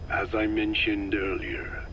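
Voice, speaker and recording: Frail old scientist guy, really slow whispery low voice, grave lecture, game cutscene on noisy tape. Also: level tone, muffled recording. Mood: grave, measured. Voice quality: breathy, whispery rasp. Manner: slow, measured, lecturing in halting phrases. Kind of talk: dramatic video game dialogue. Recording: noisy cassette tape recording.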